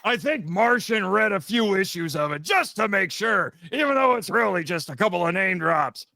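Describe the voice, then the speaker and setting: A man's voice: high voice, expressive, moderate pace, gravelly, pushed and bright, no echo, studio quality, exaggerated voice. A man voicing a brash, taunting monster villain who bosses people around like a mocking game show host. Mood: dismissive. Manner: mocking, bossy, insulting. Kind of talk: in-character game show hosting.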